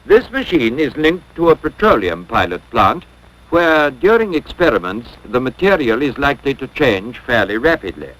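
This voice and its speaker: A man narrating a 1950s educational film from a script in a measured, formal, instructional way. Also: medium pitch, very animated, a bit bright, low-quality recording.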